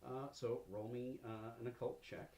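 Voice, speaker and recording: A man narrating a casual tabletop game as game master, halting as he improvises his way along. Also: medium pitch, level tone, reverberant, very noisy.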